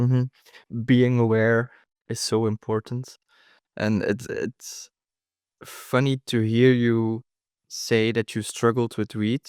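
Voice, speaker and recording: A man talks as an interviewer in a casual, personal style. His voice is smooth and medium in pitch, with some hesitant phrasing. The recording is dry and close. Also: a little mellow, no echo. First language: Dutch. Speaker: podcast host, interviewer.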